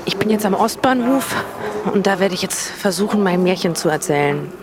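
An actress plays an earnest young woman in a radio drama, telling a fairy tale. Her voice sits at a middle pitch. She is recorded on location, with plain vehicle noise and ambience behind her. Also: expressive, normal speed, close to an everyday voice. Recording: noisy location with vehicle sounds.

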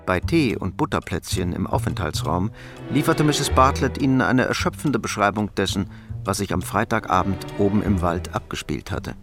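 An actor as the narrator of a crime radio drama, measured, composed and matter-of-fact. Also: male voice, low-pitched, expressive, over music. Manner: measured, literary storytelling.

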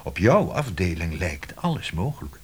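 An actor playing a shrewd, teasing publishing boss in a radio drama, coaxing theatrically with pointed pauses. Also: male voice, low-pitched, sing-song, a little hiss or hum. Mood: coaxing, wry. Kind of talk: Dutch radio drama acting.

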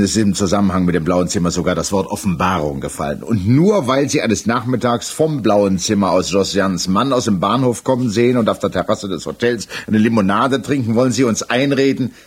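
A man's voice: lowish voice, slightly rough. An actor playing a probing, sceptical examining magistrate in a 1960s German radio play, formal and pointed in his questioning.

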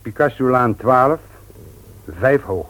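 An actor playing a simple, good-natured customer in a radio play, folksy and unhurried, often repeating himself. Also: male voice, mid-range voice, fairly bright tone, rough recording. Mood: amiable. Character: simple, good-natured male customer who dislikes reading. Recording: old radio drama recording.

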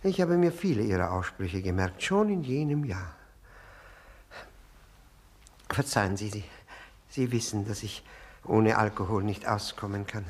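An actor playing a servile, obsessive, self-abasing widower in a 1960s radio drama, halting and pleading, repeating his words. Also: fairly low pitch, lively intonation, breathy edge. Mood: eager, servile. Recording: 1960 radio play recording.

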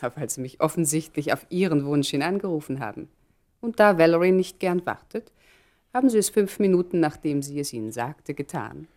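A woman's voice: low voice, expressive, fairly quick, a little mellow. An actress in a German radio play voicing a shrewd, poised society woman with a polite, knowing irony. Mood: knowing, ironic.

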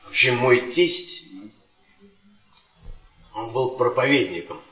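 A man's voice: mid-range voice, lively intonation, slow pace, bright, forceful tone. An actor playing a guarded, earnest younger male academic in a radio play, tipsily defensive and insistent, often repeating himself. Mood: boastful, rambling. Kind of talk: radio theatre stage acting. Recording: echoey room.

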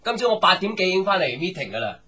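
An actor playing an upbeat, approving, informal young boss in a Cantonese radio drama. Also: male voice, high voice, sing-song, very fast, pushed and bright, breathy edge, full character voice. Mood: breezy.

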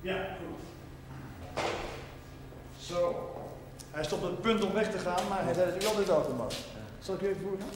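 A man's voice: sits high, normal speed, pauses often, close to an everyday voice. An actor playing a chatty, obliging and proud company doorman in a television drama. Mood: helpful, proud. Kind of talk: television period drama acting. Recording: distant, very echoey hall, noisy.

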